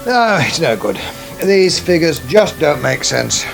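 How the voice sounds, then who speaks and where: An actor playing a sceptical, preoccupied farming husband in a naturalistic radio soap, distracted and dismissive. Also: male voice, on the high side, big pitch swings, a little slow, creaky, faint music behind, exaggerated voice. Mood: sceptical, grumbling. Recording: noisy 1980s radio broadcast.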